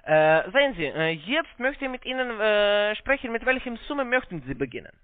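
A man with a foreign accent giving a halting, repetitive, polite phone sales pitch in broken, non-native German. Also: big pitch swings, no echo. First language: not German. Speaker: call center investment salesman on a scam call. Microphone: phone call. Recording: narrow-band phone line sound.

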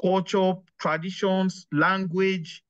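A man in his forties hosts a chat over a video call from a small curtained room. His voice sits a bit high for a man and comes through dry and close. The sound is muffled and lo-fi, like webcam audio. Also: little pitch movement, slow pace, fairly bright tone, clear, resonant tone, no echo. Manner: thoughtful, many fillers and restarts, gestures while talking. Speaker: host. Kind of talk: casual video-call discussion.

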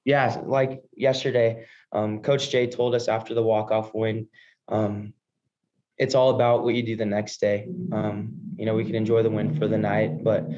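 Flat-toned young American athlete, male. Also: on the low side, moderate pace. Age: early 20s. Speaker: college baseball player. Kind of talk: post-game press conference answers. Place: indoor press room before a backdrop. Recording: dull, lo-fi video call audio.

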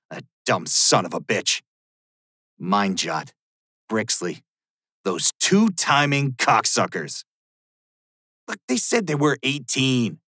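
An actor playing an arrogant, sleazy middle-aged American rich criminal, blustering and evasive in video game lines. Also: male voice, mid-range voice, fairly bright tone, breathy edge, dry recording, clean studio sound, exaggerated voice. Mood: defensive, bitter. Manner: foul-mouthed, blustering. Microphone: close studio mic, dry.

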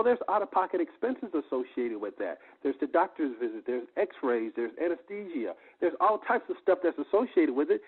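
High-pitched man pitching over a muffled phone line. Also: slightly rough. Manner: emphatic, repetitive, rhetorical questions. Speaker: sales presenter on a conference call. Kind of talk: sales pitch explaining benefits. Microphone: telephone.

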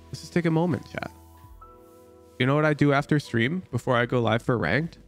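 A man streaming live, chatting casually with his viewers while reviewing gameplay, in an animated way that jumps to loud exclamations. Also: medium pitch, fairly quick, soft background music.

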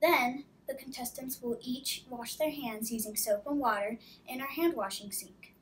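A woman's voice: fairly high pitch, normal speed, recorded from a distance, slight background noise. A young girl hosting a homemade contest video in a classroom, with cheerful scripted announcing and playful asides. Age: child. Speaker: host of a kids' contest video. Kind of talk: scripted presenting. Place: classroom with whiteboard. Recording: echoey classroom, camera from off.

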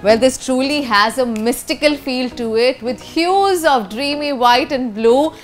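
A high-pitched woman with an Indian accent presents a travel vlog. Her manner is chatty and enthusiastic, pitched to sell. Light music plays behind her. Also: measured pace. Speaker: travel show host. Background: background music.

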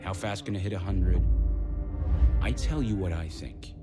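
A man's voice: low voice, moderate pace, close to an everyday voice. Man acting a cocky young street racer. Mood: confident. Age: young adult. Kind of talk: cinematic video game acting. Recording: loud music behind the voice.

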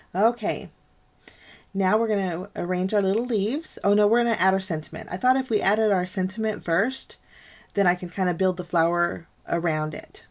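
A middle-aged woman hosting a card-making tutorial, talking chattily through each step with lots of ums and gushing over how pretty things look. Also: lowish voice. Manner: chatty, gushing, frequent ums and light laughs. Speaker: card-making channel host. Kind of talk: casual crafting tutorial voice-over. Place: craft desk, overhead camera on hands.

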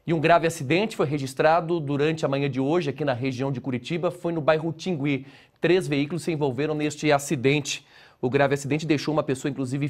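Male studio anchor, Brazilian accent, steady read. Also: on the high side. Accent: Brazilian Portuguese. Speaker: news anchor. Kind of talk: news presenting.

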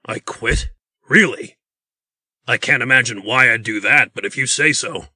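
A man's voice: mid-range voice, moderate pace, bright, forceful tone, breathy edge, no echo, studio quality. A man playing a wry, resigned middle-aged blacksmith held prisoner in a comic dub. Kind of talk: amateur comic dub acting.

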